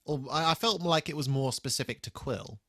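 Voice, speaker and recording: A man co-hosting a podcast in casual conversation, picking his way through his points haltingly with dry asides. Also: on the high side, pushed and bright, no room sound. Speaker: podcast co-host. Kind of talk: casual chat, re-watch commentary.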